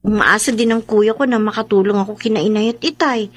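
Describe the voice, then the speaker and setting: A woman's voice: mid-range voice, fairly quick, natural voice. A woman with a Filipino accent playing an emotive, wheedling young working-class daughter and sister in a radio drama. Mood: tender, pleading.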